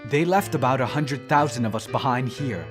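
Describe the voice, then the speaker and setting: A man's voice: medium pitch, normal speed, lightly acted. A man playing a smug, teasing immortal thousands of years old in an audio drama, with a sardonic, needling manner. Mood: wry. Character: teasing three-thousand-year-old dream maker, smug male immortal. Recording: voice under plucked guitar music.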